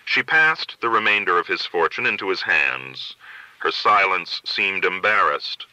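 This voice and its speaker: A man narrates literary fiction with measured, articulate delivery. His voice sits fairly low yet has a very sharp, bright edge, and the sound is dry and close. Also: expressive, no room sound, rough recording. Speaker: audiobook narrator.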